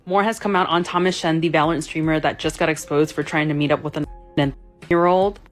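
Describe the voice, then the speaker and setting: A woman narrating a quick, matter-of-fact news recap. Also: deep voice, steady tone, soft background music.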